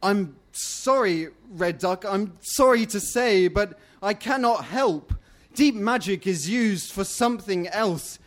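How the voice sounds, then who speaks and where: Storybook hero, male reader: nervous, courteous bat sorcerer, high voice, unhurried, earnest and apologetic but holding firm. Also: lively intonation. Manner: apologetic, earnest rhymed speech. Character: timid, polite bat magician, quietly firm.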